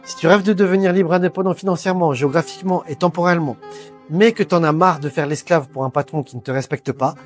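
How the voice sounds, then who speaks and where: A middle-aged man giving an earnest sales pitch for a training program, talking straight to the listener and stumbling over frequent fillers. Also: quick pace. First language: French. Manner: hesitant, many fillers, earnest persuading. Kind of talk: direct-to-camera sales pitch. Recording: faint background music.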